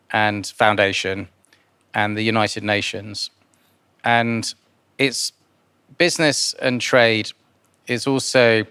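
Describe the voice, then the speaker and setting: A man born in Guyana answers interview questions informally, in a halting way with repeated words. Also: fairly low pitch, sharp tone, smooth. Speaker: interviewee, philanthropist and investor.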